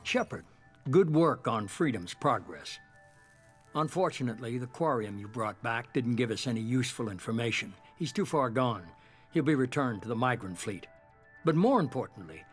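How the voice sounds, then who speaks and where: An actor playing a shadowy, calculating middle-aged leader in a sci-fi game, speaking with measured, composed authority. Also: male voice, mid-range voice, expressive, soft background music, natural voice. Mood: cool, measured. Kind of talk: cinematic sci-fi game dialogue.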